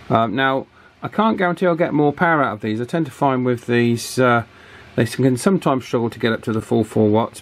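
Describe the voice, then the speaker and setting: A man giving a hands-on repair tutorial at a workbench in an informal, matter-of-fact way with hesitant pauses. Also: lowish voice, slight background noise. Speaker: radio repair technician and presenter. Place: indoors at an electronics workbench.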